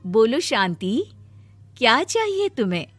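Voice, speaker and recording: A woman voicing a kindly, motherly little tree fairy who speaks gently and reassuringly in a moral story. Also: a little high, sing-song, measured pace, no echo, soft background music. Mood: benevolent. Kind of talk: acted moral-story dialogue.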